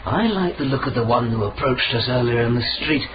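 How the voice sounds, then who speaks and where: An actor playing an eager, carefree young gentleman out carousing, jaunty and flippant, in a period radio drama. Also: medium pitch, animated, a little fast, very noisy, lightly acted. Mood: jaunty, merry. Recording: muffled radio broadcast, loud tavern crowd.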